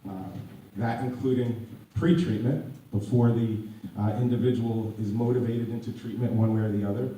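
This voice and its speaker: A man's voice: fairly low pitch, steady tone, moderate pace, warm tone. A man who is losing his voice gives a public talk as an addiction counselor. He often restarts his words and grows emphatic as he goes on. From United States. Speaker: addiction counselor speaking at a community panel. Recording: echoey room audio from far off.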